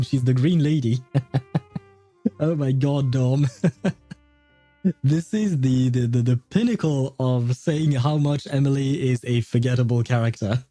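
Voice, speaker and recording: Mellow male game streamer, casual chat. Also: medium pitch, dry recording. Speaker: game livestreamer. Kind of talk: casual livestream chat, answering viewers.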